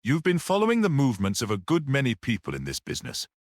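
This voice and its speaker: A man voicing a mild, perceptive priest-detective in a story, grave, measured and almost dreamy as he reasons. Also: medium pitch, wildly swooping pitch, no room sound, clean studio sound, pitched above the performer's own voice. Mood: unruffled monologue.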